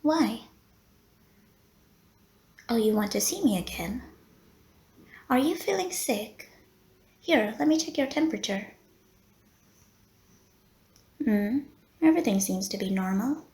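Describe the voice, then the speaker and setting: Unhurried, courteous lady vampire nurse, acted by a woman. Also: fairly high pitch, animated, darkish tone. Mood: polite, attentive, then flustered, hesitant. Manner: genteel, formal wording with coy hesitations. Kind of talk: roleplay audio acting, addressed to the listener.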